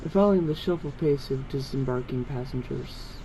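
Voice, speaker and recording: A man with a soft-edged, mellow voice reads a fan story aloud, moving between narration and dialogue. He trips over longer words now and then. The amateur recording has faint noise behind it. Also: medium pitch, fairly quick, poor audio. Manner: stumbles over long words. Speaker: fan fiction reader.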